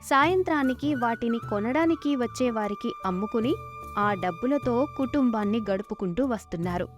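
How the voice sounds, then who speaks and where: A woman's voice: fairly high pitch, lively intonation, normal speed, over music. A woman reading scripted narration of a moral folk tale as a storyteller.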